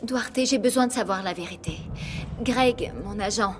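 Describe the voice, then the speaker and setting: A woman's voice: medium pitch, normal speed, noisy background, lightly acted. An actress playing a young woman singer who firmly defends her career, in a French dub.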